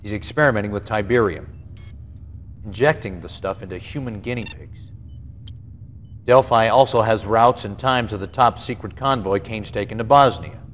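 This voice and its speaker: An actor as a low-voiced general, heavy reverb. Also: male voice, normal speed, natural voice. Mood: grave, urgent. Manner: authoritative, colloquial. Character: stern, confident male military general briefing a commander. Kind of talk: game mission briefing. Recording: long reverb, faint noise.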